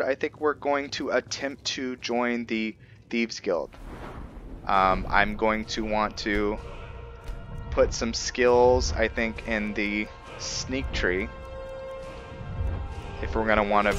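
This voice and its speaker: Bright-voiced man doing casual game commentary. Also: on the low side, clean tone, muffled recording. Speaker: gaming video host. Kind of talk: casual gameplay commentary with role-play.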